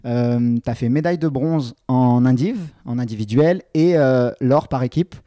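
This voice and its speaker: A man's voice: medium pitch, measured pace, warm tone, no room sound, clean studio sound. A man interviewing an athlete in a casual, friendly way, asking long rambling questions with restarts.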